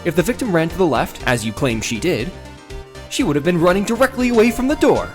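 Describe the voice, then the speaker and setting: An earnest young male defense attorney in a game drama. His voice sits a little high and swings expressively, with emphatic, declamatory phrasing and a sardonic edge. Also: very animated, quick pace. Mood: accusing, confident. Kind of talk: dramatic voiced-over video game courtroom dialogue. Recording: music behind the voice.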